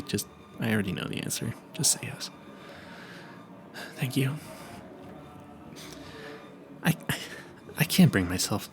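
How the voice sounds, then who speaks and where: A man playing a nervous, smitten and clueless vampire hunter in an audio roleplay, stammering and correcting himself as he speaks to the listener. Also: lowish voice. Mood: pleading, flustered. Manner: flustered, stammering, halting and self-correcting.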